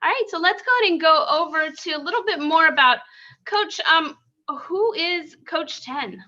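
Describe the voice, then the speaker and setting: Host, woman: high bright voice, upbeat, lots of fillers, lo-fi stream sound. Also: normal speed. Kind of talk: live-streamed interview. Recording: lo-fi stream audio.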